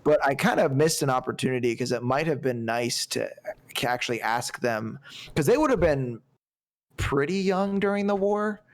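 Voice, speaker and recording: A man in his twenties chats loosely on a movie podcast, circling back and restarting his sentences. His voice sits mid-pitch with a gravelly, creaky edge. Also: expressive, moderate pace. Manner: rambling, many restarts and fillers. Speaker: podcast co-host. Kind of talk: casual movie podcast chat.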